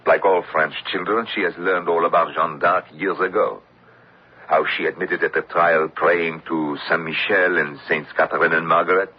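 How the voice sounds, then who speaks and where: A hard, skeptical wartime official in an old radio drama. The voice is low and very rough, pressing each point insistently. The recording is dull and lo-fi. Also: male voice, steady tone, normal speed. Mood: scornful. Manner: emphatic, insistent, argumentative. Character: hard, suspicious French occupation-era official, middle-aged, relentless skeptic.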